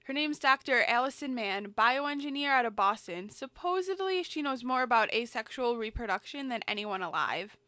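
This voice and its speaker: A woman's voice: a little high, expressive, normal speed, hardly any pauses, bright, forceful tone, no echo. A woman acting in an audio drama as a firm, dutiful middle-aged mother and congresswoman, authoritative yet motherly. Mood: insistent.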